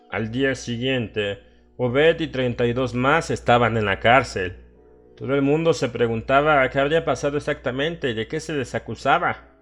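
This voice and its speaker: A man voicing a hysterical old townsman in a horror audiobook, rambling conspiratorially about dark secrets. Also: mid-range voice, natural voice. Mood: agitated. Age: older. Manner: agitated, conspiratorial, laughing and questioning his listener. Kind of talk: dramatised horror audiobook monologue.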